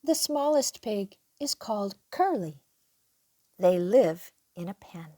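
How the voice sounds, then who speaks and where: An older woman reading a children's picture book aloud in an expressive, unhurried way, acting out the dialogue. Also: medium pitch, no room sound, clean studio sound. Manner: animated, unhurried, acts out dialogue. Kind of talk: expressive read-aloud storytelling for young children.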